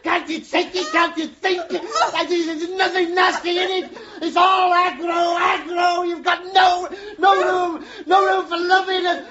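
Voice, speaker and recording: An actor playing a hesitant, deferential working-class chauffeur with a British accent in a radio play. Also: male voice, extremely high pitch, level tone, a little slow, few pauses, sharp tone, some chatter behind, full character voice. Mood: angry, distraught. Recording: dull lo-fi radio recording.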